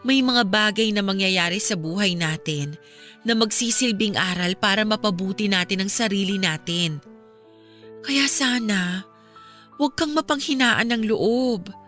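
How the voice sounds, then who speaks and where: A woman's voice: mid-range voice, a little slow, fairly bright tone, soft background music, lightly acted. An actress playing a caring, steadfast young Filipino woman who consoles a friend in a radio drama, earnest and reassuring. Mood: gentle consoling.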